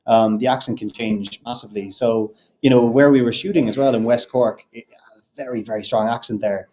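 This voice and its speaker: A man with a neutral Irish accent, a film director answering interview questions in a thoughtful, measured way that often circles back to rephrase. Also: medium pitch, fairly even intonation, warm tone. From Ireland.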